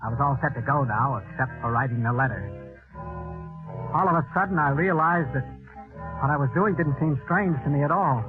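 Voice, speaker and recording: A man's voice: on the high side, natural voice. An actor with an American accent playing a desperate, jittery gambling salesman with a guilty secret in 1940s radio drama, narrating and talking in a clipped, evasive way. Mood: grim, resigned. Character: desperate, jittery male gambling insurance salesman hiding murder. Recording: tinny vintage radio recording with music.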